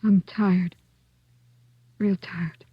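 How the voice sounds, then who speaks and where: A woman's voice: on the low side, steady tone, very slow, breathy edge, heavily acted. An actress playing a tired American working woman in her thirties in a 1950s radio drama, plain-spoken, hesitant and subdued. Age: 30s. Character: weary, apologetic working woman of thirty-one raising younger brothers. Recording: dull, echoey vintage radio recording.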